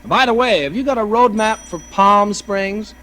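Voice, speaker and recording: An actor with an American accent playing a casual, calculating, scheming husband in a 1940s radio thriller. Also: male voice, high voice, normal speed, sharp tone. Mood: breezy. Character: smooth-talking, scheming husband plotting murder. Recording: noisy old radio recording.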